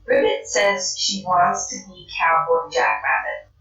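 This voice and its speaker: A slow, formal robot from a children's storybook, acted out by a woman. It is polite, literal and matter-of-fact. The voice sits a little far from the mic in a roomy space with faint noise. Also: mid-range voice, huge pitch swings, fairly bright tone, muffled recording, soft background music, heavily acted.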